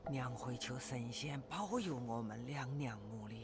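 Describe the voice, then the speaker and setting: A woman's voice: rumbling low, background noise. An actress voicing a rustic, doting, superstitious mother in a video game, with a Sichuanese accent and a folksy storytelling manner. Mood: tender, pleading. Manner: folksy, drawn-out, expressive. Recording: game music behind the voice.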